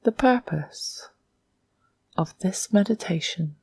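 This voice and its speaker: A woman leads a guided meditation in a lowish, extremely breathy voice. Her delivery is very slow and hushed, often close to a whisper. She sets out short, measured phrases with long pauses between them. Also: animated, no echo.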